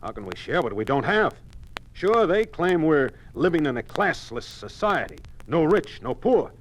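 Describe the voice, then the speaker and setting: Exasperated American boss, old noisy radio. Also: male voice, fairly high pitch, big pitch swings, moderate pace. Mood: bitter. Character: exasperated, embittered middle-aged American oil company boss. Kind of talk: 1950s radio drama acting.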